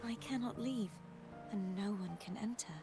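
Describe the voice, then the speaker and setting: A woman's voice: medium pitch, dark tone, lightly acted. A woman playing a mysterious, composed young maiden in a video game, with poised and formal phrasing. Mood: grave. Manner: poised, formal, measured wording. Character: mysterious, composed young maiden who lost her power. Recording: game music behind the voice.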